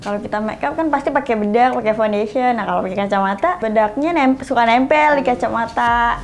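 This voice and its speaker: Talkative young woman, slightly high voice. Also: a little fast. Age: 20s. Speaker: employee interviewee. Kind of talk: casual interview answers.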